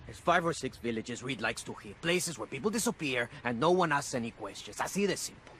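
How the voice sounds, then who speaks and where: A man's voice: mid-range voice, lively intonation, fairly quick, a bit bright, a little hiss or hum, natural voice. A man playing a nervous, slippery captured smuggler who pleads and mixes Spanish phrases into English in a video game. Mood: anxious pleading. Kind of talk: dramatic video game acting.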